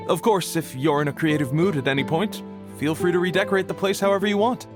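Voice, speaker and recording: A man's voice: a little high, brisk, music in the background, close to an everyday voice. A male actor playing an affable, humble shop assistant in a video game, easygoing and slightly awkward. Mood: upbeat, easygoing. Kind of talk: video game cutscene acting.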